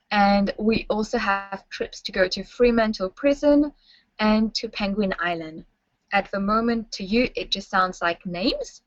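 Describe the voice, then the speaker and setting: A woman's voice: mid-range voice, dry recording. Woman, webinar presenter, friendly and instructive, dry muffled sound. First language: French. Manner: friendly instructive, checks in with "okay?". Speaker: university staff presenter.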